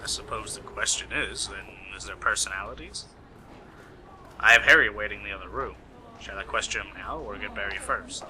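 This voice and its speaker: A man's voice: lowish voice, fairly quick, pushed and bright, slight background noise, low-quality recording. A man playing an earnest, questioning investigator in an amateur radio mystery. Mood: puzzled. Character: earnest, questioning male investigator, detective's partner. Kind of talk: amateur radio play acting.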